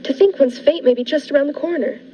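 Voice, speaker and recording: A woman playing a shy, excitable spinster of forty in a 1940s-style radio drama, earnest and eager. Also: high-pitched, quick pace, lightly acted. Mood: wistful excitement. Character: naive, excitable, shy forty-year-old spinster diarist. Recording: dull lo-fi with music behind.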